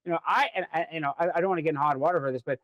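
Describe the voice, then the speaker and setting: Fast, high-voiced male pundit. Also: no room sound, muffled recording. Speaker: panel guest commentator. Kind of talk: opinionated conversational political commentary.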